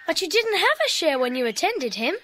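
A woman's voice: extremely high pitch, sing-song, brisk, bright, forceful tone, exaggerated voice. A woman playing an eager, adventurous young British schoolgirl in a children's audio drama. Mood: earnest.

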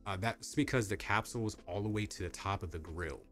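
Young man, very deep voice, casual tech review, filler-heavy, home stream room, faint background music. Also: fairly quick, a bit bright. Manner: rambling, many fillers and restarts. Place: home streaming setup with colorful lights.

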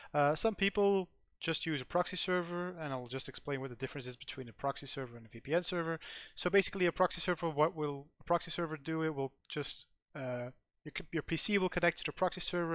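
A man's voice: a little high, a bit bright, no room sound, clean studio sound. Dutch-accented man explaining over a screen recording. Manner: loose and rambling, many uh fillers and restarts. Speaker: tech channel host.

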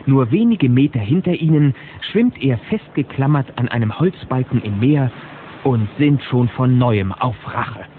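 A man's voice: mid-range voice, animated, unhurried. A man narrating a scripted children's audio drama in a dramatic storytelling style. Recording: narrow-band, hissy old cassette recording.

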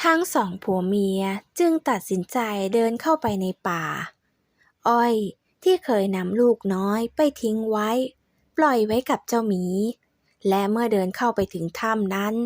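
A woman narrates a folk tale in a steady, measured voice set a little high. The recording is dry and close, with no room echo. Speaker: storyteller.

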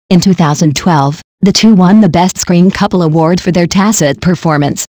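Dry, even-voiced celebrity news narrator. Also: medium pitch, no echo.

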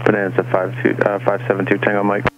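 Low, even-toned controller on aviation radio. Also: male voice, fast talker. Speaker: air traffic controller. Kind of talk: procedural radio clearance. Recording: dull radio transmission, faint hum.

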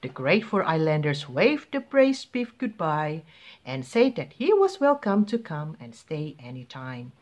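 A woman reading a children's picture book aloud in an expressive, deliberate way. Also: low voice, lo-fi sound. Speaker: children's story reader.

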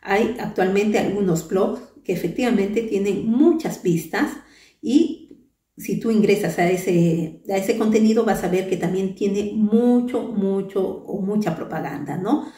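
A woman in her thirties talks to the camera in a patient, explanatory way, dotting her sentences with fillers and little "right?" tags. Her voice is mellow and soft-edged. The sound is roomy and echoey, and she sits a bit back from the mic. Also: medium pitch, unhurried, recorded from a distance. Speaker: crochet channel host. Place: small bright room indoors. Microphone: camera at a distance, head and shoulders framing.

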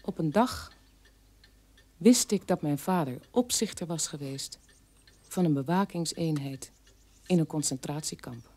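An actress playing a bitter adult daughter of a war criminal, recounting her childhood in an emphatic, halting radio play monologue. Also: deep voice, warm tone. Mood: resigned, questioning.

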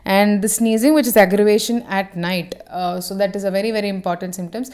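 A woman with a smooth, clear voice of middle pitch, talking close to a podcast microphone. She reads out a short passage, then explains it in a loose, lecturing way. Her talk is full of "uh" and small self-corrections. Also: steady tone, fairly quick, a little mellow. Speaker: homeopathy teacher. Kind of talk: reading and explaining a reference text.

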